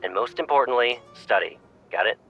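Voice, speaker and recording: A man's voice: mid-range voice, animated, fairly quick, gravelly, sharp tone, soft background music, rough recording. A man playing a young pilot cadet, a doting and teasing boyfriend, in a romantic game fan dub. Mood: caring, bossy.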